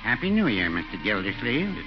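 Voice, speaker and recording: A man's voice: medium pitch, fairly quick, close to an everyday voice. Man playing a dry, mild radio comedy fellow. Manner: deadpan, hesitant, mild. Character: mild, dry, unhurried small-town married man. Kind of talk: 1940s radio comedy acting. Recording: dull old radio recording with music.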